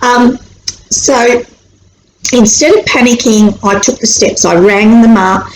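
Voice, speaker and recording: A woman with an Australian accent, born in Sri Lanka, explaining anxiety and the brain in a chatty, animated way, acting out worried inner voices. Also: a little high, slow pace. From born in Sri Lanka, raised in Australia. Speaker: anxiety educator on video. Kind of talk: casual explanatory talk to camera. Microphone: camera mic. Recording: echoey room with faint hiss.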